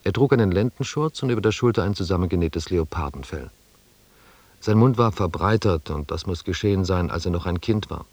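A reflective expedition scribe in a radio drama, sober and quietly melancholy. His low voice sounds dry and close, with measured, restrained delivery. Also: expressive, husky, no room sound, exaggerated voice. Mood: sober narrating. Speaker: narrator. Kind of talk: scripted first-person narration in a German radio drama.

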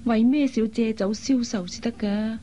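An actress playing a worried, caring young woman in a radio drama, with an earnest, expressive delivery. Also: on the high side, moderate pace, lightly acted. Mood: concerned. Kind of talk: Cantonese radio drama acting. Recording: dull lo-fi recording, faint noise.

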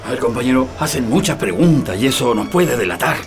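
Male actor for radio drama conspirator: prisoner, careful and concerned, mid pitch with animated swings. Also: brisk, lightly acted. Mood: concerned, prudent. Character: prudent, concerned male political prisoner plotting escape. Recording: music behind the voice.

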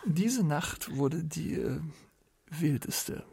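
A man's voice: a little high, animated, very slow, creaky, darkish tone, a little hiss or hum. A man narrating a literary radio play as one of its storytellers.

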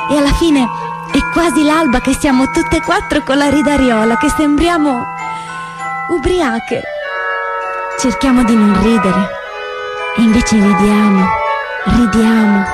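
High-pitched spirited teenage girl, acted by a woman. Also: measured pace, pauses often, loud music behind the voice, close to an everyday voice. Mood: giddy, excited. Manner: expressive, dramatic phrasing, shifts between voices. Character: spirited teenage girl partisan, excitable, cheeky, earnest storyteller. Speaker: solo stage actress. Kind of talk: theatrical monologue, animated storytelling.

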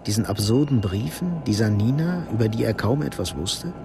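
An actor narrating a Cold War thriller radio drama in a sober, measured, literary manner. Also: male voice, lowish voice, expressive, gravelly, music in the background. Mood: sober, suspenseful. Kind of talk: scripted narration.

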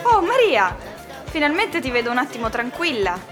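High, gushing, earnest woman acted. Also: very animated, moderate pace. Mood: gushing, dreamy. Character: Anna, excitable, earnest mystical woman party guest. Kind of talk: radio drama acting. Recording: music behind the voice.

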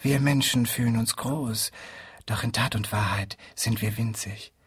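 Very husky, portentous philosopher. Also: male voice, mid-range voice, a little slow, dry recording, studio quality. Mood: solemn musing. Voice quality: husky, breathy. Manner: solemn, portentous, breathy musing. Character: mystical, pompous male hut-visiting philosopher for hire. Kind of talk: German-language radio play acting.

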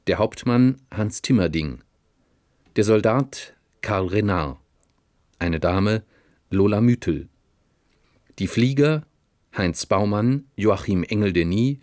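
A man announcing radio drama credits in a formal, measured, scripted manner. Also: lowish voice, lively intonation, darkish tone, no room sound, studio quality.

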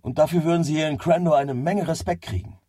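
An actor in a German radio drama playing a stubborn, excitable working man who swears and swings from muttering to exclaiming. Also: on the high side, fast talker, husky, dry recording, clean studio sound. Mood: determined.

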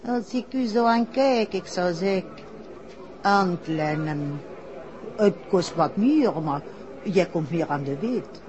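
An actress playing a coaxing, knowing French fairground fortune-teller in a 1960s radio drama, with a French accent and French phrases sprinkled in. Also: female voice, on the low side, expressive, takes it slowly, darkish tone. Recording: dull old radio recording.

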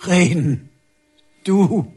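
An actor playing a dutiful, stubborn official in a radio drama, speaking stiffly in clipped, insistent phrases. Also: male voice, high-pitched, expressive, very slow, a little mellow, husky, exaggerated voice. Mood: puzzled, uneasy. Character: dutiful, stubborn male official delivering orders.

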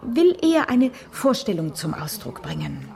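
Lively-pitched, brisk, candid woman. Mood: curious, thoughtful. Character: brisk, candid woman colleague minding small children. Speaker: actor in a radio crime play. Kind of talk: 1980s radio drama dialogue.

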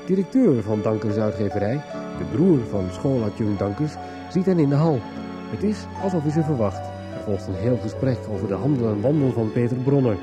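A man's voice: mid-range voice, animated, a little fast. Male radio serial narrator over music. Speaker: radio soap announcer and narrator. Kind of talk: scripted recap narration. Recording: clear music bed behind voice.

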